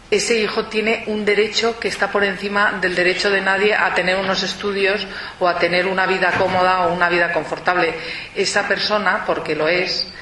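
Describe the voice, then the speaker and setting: A man with a high, bright voice argues his case firmly and insistently in a studio debate. He is picked up from a distance in an echoey room, with faint noise behind him. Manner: firm, insistent arguing. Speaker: debate participant.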